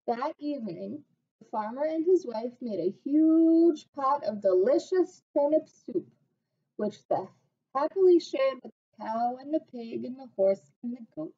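A woman in her thirties, a teacher, reading a picture book aloud on a webcam at home in a slow, expressive voice. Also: sits high, breathy edge. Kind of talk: storybook read-aloud on webcam. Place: small room at home. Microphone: webcam at desk. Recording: dull lo-fi webcam audio.

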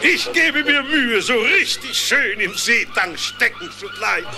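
Gravelly, proud old sea captain. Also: male voice, very high-pitched, lively intonation, measured pace, heavily acted. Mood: wistful, amiable. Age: older. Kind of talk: dubbed cartoon audio play acting. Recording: music under the voice. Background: music.

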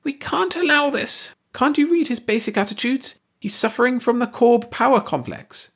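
A man voicing a shrill, excitable male alien scientist who squeaks and shrieks in a storybook reading. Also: moderate pace, slightly rough, heavily acted. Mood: ranting. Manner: shrieking, agitated, high-pitched.

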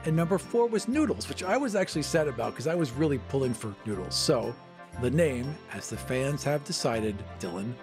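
A man's voice: medium pitch, lively intonation, fairly quick, over music. A man co-hosting a podcast, playfully bantering and telling an improvised story as a tabletop player.

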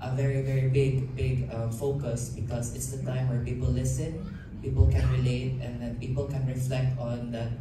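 Earnest young man, flat delivery. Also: medium pitch, normal speed, few pauses. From Philippines. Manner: earnest, fluent, speechlike. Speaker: pageant titleholder. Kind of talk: press Q&A answers. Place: event hall stage. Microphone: handheld mic, far camera. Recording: echoey hall from far off, noisy.

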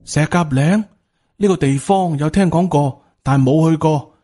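A male storyteller puts on an eager young disciple, stubbornly loyal and a little naive. The voice sits higher, pleading earnestly in Hong Kong Cantonese, with audiobook music behind it. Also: a little slow, mellow. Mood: eager, undaunted.

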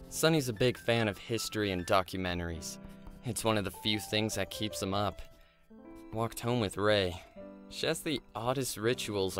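Man as a reserved, low-voiced teenage schoolboy. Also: fairly bright tone. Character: reserved teenage schoolboy who writes stories and keeps his distance. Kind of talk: audio drama acting, journal narration.

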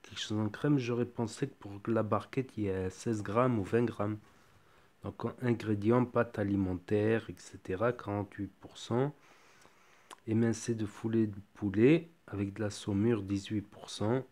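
A man's voice: lowish voice, moderate pace. Chatty man with a cold. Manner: relaxed, chatty, thinking aloud with fillers. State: has a cold. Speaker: food reviewer. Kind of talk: casual product tasting review. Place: home kitchen table.

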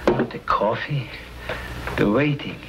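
An actor playing an anxious, worn-down middle-aged frontier husband, fretful and pleading, in an old television western. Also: male voice, medium pitch, slow, gravelly. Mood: fretful, impatient. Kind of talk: 1950s television western acting. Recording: very noisy vintage TV film soundtrack.

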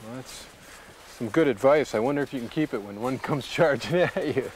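A man's voice: medium pitch, fairly quick, a little hiss or hum. A man hosting an outdoor show, chatting casually and asking curious, folksy questions while hiking. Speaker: show host. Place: outdoors in open coastal tundra, on the move.

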